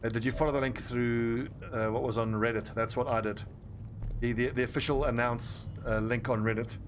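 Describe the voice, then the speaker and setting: A man's voice: medium pitch, fairly even intonation, moderate pace, slight background noise. Chatty South African man streaming a game. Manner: chatty, blunt, swears freely, talks to viewers. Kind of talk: casual gameplay commentary.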